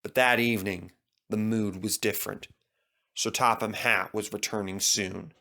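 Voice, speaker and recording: A man's voice: lowish voice, steady tone, normal speed, gravelly, sharp tone. A man reading a scripted children's story as its narrator.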